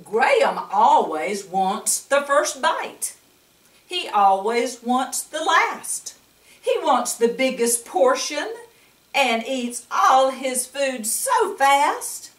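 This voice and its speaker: An older woman with a fairly high, very animated voice reads a children's picture book in an echoey room. She talks to her young listeners in a grandmotherly manner, breaking off for coaxing questions and chatty asides. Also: extremely slow. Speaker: storyteller reading to children. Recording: echoey room, distant mic.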